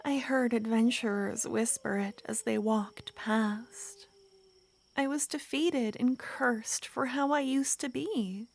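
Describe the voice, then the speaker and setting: A woman voicing an ancient, lovesick female vampire who pleads softly and slips into whispers, in an ASMR roleplay. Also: on the high side, fairly even intonation, slow, creaky, full character voice. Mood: pleading. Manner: soft, pleading, drifting into whispers.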